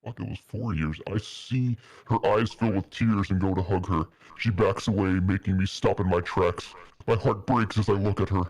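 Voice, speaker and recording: Very deep-voiced man, melodramatic reading. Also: moderate pace, a little mellow, no echo, rough recording. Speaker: streamer reading fan fiction. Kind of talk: dramatic narration with casual asides.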